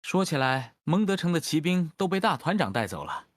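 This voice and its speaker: An actor playing a suave, sly cavalry captain in a fantasy game, teasing and playfully mocking. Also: male voice, a little high, sing-song, a little fast, dry recording, clean studio sound.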